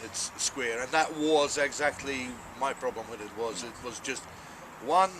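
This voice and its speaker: A man with a mid-range voice chats as he unpacks, naming each item as it comes out. His delivery is easy and conversational. Traffic and street noise are clearly heard around him outside. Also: mid-range voice, unhurried. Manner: chatty, lists items as he unpacks. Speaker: archery shop co-host. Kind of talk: casual unboxing chat.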